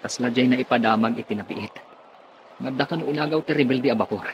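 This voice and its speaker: A man's voice: mid-range voice, fast, dull audio, noisy background, natural voice. An actor playing a seasoned, wary merchant seaman in a radio drama, talking earnestly as a comrade. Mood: grave, wary.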